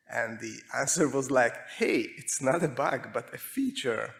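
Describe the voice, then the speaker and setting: A man with a Hungarian accent giving a prepared technical presentation, speaking in measured short phrases with pauses. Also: medium pitch, gravelly. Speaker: conference speaker, security researcher.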